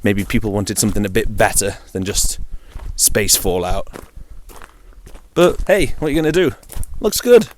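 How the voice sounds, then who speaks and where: A man's voice: lowish voice, animated, moderate pace, slight background noise. A man with a British accent chatting informally on his own about games, in a dry, wry, jokey manner. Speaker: video game vlogger.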